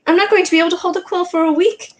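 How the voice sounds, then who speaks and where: A woman's voice: extremely high pitch, steady tone, very fast, a little mellow, slight background noise, pitched above the performer's own voice. A woman voicing a jumpy, grumbling young boy wizard in a read-aloud storybook, in a raised, strained voice.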